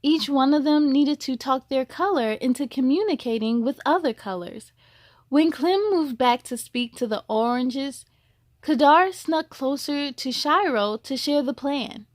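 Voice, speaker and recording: A woman's voice: high-pitched, measured pace, no room sound, studio quality. A woman hosting a storytime, reading a children's book aloud expressively with chatty, encouraging asides.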